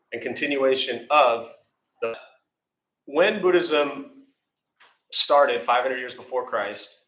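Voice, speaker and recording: A man's voice: mid-range voice, pushed and bright. An American man giving a casual meditation talk, self-deprecating and rambling with fillers. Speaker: meditation teacher. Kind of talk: informal dharma talk with questions. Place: temple meditation hall. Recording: echoey room.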